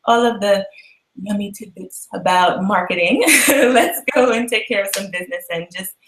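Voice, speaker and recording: A woman's voice: mid-range voice, fairly bright tone. A woman hosting an interview, asking questions in a friendly, chatty way. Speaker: interview host. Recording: echoey room sound.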